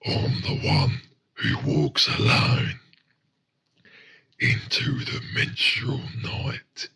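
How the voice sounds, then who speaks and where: A man voicing a brooding, menacing serial killer in a dark spoken-word monologue, breathy and half-whispered, in slow fragments. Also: medium pitch, huge pitch swings, full character voice. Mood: brooding, lonely.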